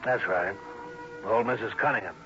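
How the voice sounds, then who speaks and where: An American actor playing a tough, brusque, impatient police sergeant in a 1960s radio drama. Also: male voice, lowish voice, moderate pace, over music, close to an everyday voice. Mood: gruff, demanding. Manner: brusque, clipped, commanding. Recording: dull vintage radio recording.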